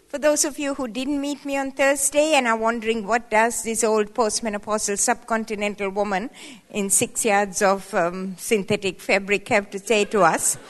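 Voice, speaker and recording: A woman in her seventies speaks from a stage mic in a hall, her voice sitting a bit high. She talks playfully and conversationally, tossing in emphatic, rising asides to a room of women. A long reverb trails behind each phrase. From Sri Lanka. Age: 70s. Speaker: guest speaker. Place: hall at a women's church event.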